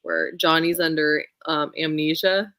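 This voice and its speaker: A woman with a slightly low voice talks books in a casual, expressive way. She is informal and emphatic, and the sound is dry, with very little room to it. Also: a little slow, no room sound. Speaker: book show co-host. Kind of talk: casual book chat.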